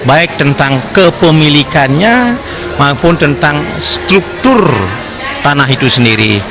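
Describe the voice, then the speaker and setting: A man's voice: fairly high pitch, lively intonation, slow, music in the background. An older man giving an informal interview answer as a university rector. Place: auditorium. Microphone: handheld interview mic.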